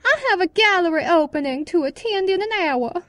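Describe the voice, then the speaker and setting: Female actor as impatient mare artist, very high pitch, stern, dry sound, audio drama. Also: lively intonation, fairly quick, a bit bright, no room sound. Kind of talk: audio drama character acting.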